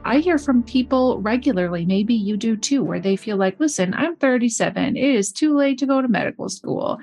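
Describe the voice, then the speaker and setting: Thoughtful woman host, slightly high voice. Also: animated, normal speed, a little mellow, faint music behind. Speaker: podcast host. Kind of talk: interview questions.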